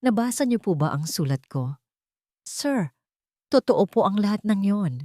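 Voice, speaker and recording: A woman's voice: mid-range voice, sing-song, a little slow, darkish tone, no echo, clean studio sound, voice pushed up. A woman voicing an anxious, loyal servant in an audio story, urgent and deferential. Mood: relieved, pleading.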